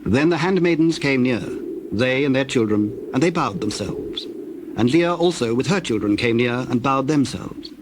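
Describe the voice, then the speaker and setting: A man reads scripture as a solemn narrator, measured and formal. His pitch is in the middle range, and a clear bed of noise with birdsong and cooing doves runs behind him. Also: expressive.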